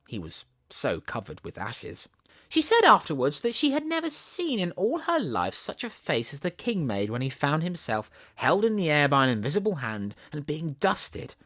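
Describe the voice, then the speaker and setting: A man with a Southern English accent narrates a children's storybook. His reading is theatrical and expressive, rising and falling widely in pitch. The sound is close and dry. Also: dry recording. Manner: theatrical, animated. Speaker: audiobook narrator.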